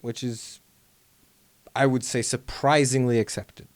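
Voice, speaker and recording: A man at a middle pitch hosts a casual podcast. He rambles through his points with plenty of fillers. The sound is dry and close. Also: little pitch movement, a little slow, no echo. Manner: rambling, full of "like" fillers, laughs easily. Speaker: podcast host. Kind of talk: casual chat.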